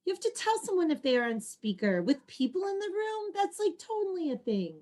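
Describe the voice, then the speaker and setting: A woman's voice: very high-pitched, sing-song, brisk, a little mellow. A woman playing a perky, earnest young homebody in a comedic play reading, with emphatic girlish slang. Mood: teasing.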